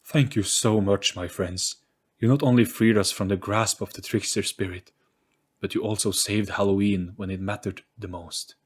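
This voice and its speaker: Earnest freed ghost played by a man, low-pitched. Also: fairly even intonation, normal speed, slightly rough. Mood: grateful, emotional. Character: gleeful male ghost turned grateful human.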